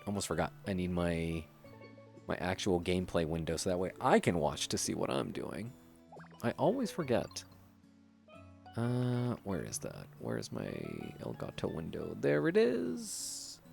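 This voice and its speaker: A man's voice: medium pitch, vocal fry. A man in casual chat as a gaming streamer, rambling and searching for words, sounding a little stuffy, on a dynamic streaming mic at a home setup. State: slightly stuffy. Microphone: dynamic streaming microphone. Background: faint background music.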